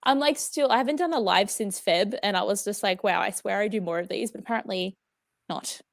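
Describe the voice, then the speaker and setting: A woman's voice: mid-range voice, fairly quick, gravelly, no room sound, studio quality. A woman co-hosting a reading livestream, upbeat and giggly as she chats and sets a sprint timer. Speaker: readathon co-host. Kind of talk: casual livestream chat.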